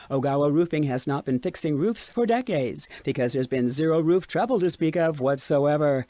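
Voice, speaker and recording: An actor playing a serene but eerily cheerful radio DJ with a deliberate, reassuring announcer delivery. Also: medium pitch, dark tone, no room sound, natural voice. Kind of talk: scripted fictional radio station patter.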